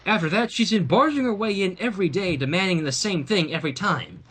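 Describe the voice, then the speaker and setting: A regal pony princess acted by a man with a raised, lively voice. She is sly and teasing, politely sarcastic, in the style of a storybook, with a faintly husky tone. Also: high voice, a little fast. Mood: dry amusement.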